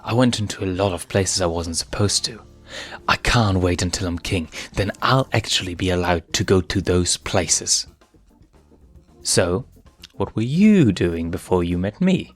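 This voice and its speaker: A man's voice: low-pitched, animated, measured pace, faint music behind. A man voicing a cocky young male lion cub prince in a storybook, breezy and self-assured.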